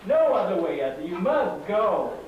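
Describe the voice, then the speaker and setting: A man's voice: high voice, very animated, moderate pace, phone-quality sound. A man playing an anxious, earnest uncle in a puppet show, pleading in a dramatic, repetitive way. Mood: urgent, pleading. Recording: echoey, distant, noisy live stage recording.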